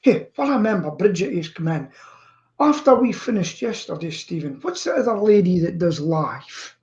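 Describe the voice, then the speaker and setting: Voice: mid-range voice, big pitch swings, normal speed, darkish tone, muffled recording. A co-host chatting casually on an online show, telling things in a rambling, anecdotal way over a streaming call. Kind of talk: casual chat. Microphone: live streaming video call.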